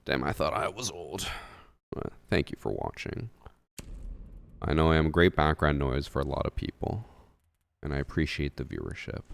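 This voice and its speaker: A man streaming a shooter game, chatting calmly with his viewers and commenting on his play in an unexcited way. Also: extremely low pitch, moderate pace, creaky, pauses often, no room sound. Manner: calm, unexcited. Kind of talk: casual gameplay commentary with chat. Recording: game gunfire audible behind voice.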